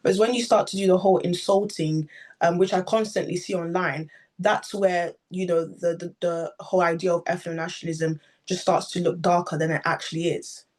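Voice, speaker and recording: A woman's voice: fairly low pitch, steady tone, a little fast, a little mellow. Candid woman panel guest. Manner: candid, earnest, hesitant restarts. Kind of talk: political panel talk.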